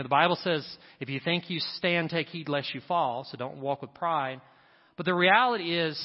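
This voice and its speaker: A man preaching a sermon to a congregation, emphatic and repeating his key lines for effect. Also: fairly high pitch. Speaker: pastor. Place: church sanctuary. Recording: reverberant hall, dull sound. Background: church congregation.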